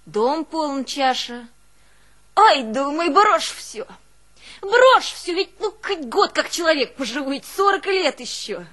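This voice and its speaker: A woman's voice: high voice, very animated, slow, a bit bright, exaggerated voice. An actress playing a long-suffering, devoted village woman, telling of hardship in a plaintive, folksy theatrical monologue. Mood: agitated, reminiscing. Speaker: actress in a one-woman show. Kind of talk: theatrical monologue with drawn-out folk singing.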